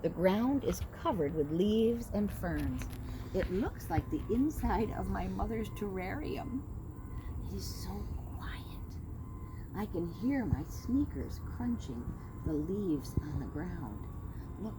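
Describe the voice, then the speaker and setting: Expressive woman reading a picture book aloud. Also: mid-range voice. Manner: gentle, expressive, slows down for young listeners. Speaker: teacher reading to children. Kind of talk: storybook read-aloud for kids. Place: at home with her dog nearby. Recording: home recording with clear background noise.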